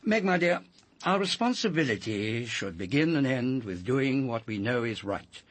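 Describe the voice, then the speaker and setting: An actor playing a kindly, earnest older priest giving measured counsel in a radio drama. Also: male voice, mid-range voice, lively intonation, natural voice. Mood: kindly, assured.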